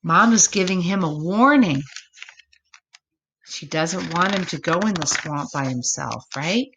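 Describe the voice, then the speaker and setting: Woman doing storytime for kids, really animated, takes it slow, chats with the listeners. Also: fairly low pitch, background noise. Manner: expressive, chatty with listeners. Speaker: storybook reader. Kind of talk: read-aloud storytelling for children, with asides to listeners.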